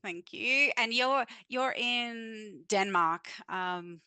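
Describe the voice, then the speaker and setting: Bright, clear-voiced woman host. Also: on the high side, very slow, dry recording. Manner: warm, hesitant, lots of fillers. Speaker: podcast host. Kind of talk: casual interview. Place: in Sydney.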